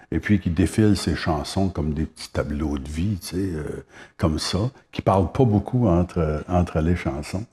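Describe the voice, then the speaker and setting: A man's voice: sits low, dark tone. A man in his seventies from Quebec's Gaspé Peninsula with a Québécois French accent, a singer-songwriter chatting as a guest and reminiscing unhurriedly with many hesitations. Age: 70s. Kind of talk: casual interview chat.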